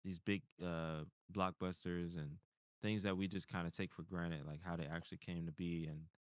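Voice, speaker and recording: A man with a very low, smooth and resonant voice talks in a loose, casual way on a panel show. He circles back over his words and drops in profanity. The sound is close and dry. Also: fairly even intonation, normal speed, dry recording. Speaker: panelist on a nerd culture show. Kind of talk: casual chat.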